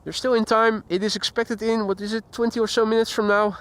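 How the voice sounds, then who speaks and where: A man's voice: sits high, flat delivery, a little fast, a bit bright. Chatty man hosting outdoor live stream. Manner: chatty, greets viewers by name. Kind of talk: casual live commentary. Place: outdoors near an airport. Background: faint outdoor traffic and voices.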